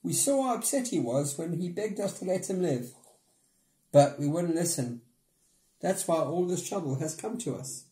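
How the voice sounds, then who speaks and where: An agitated, remorseful brother, played by a man, pitched up and full of reproach. The storybook reading sounds roomy and echoey. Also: lively intonation, normal speed, warm tone, lightly acted. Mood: anguished reproach. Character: exasperated, remorseful adult brother scolding his siblings. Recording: roomy indoor echo.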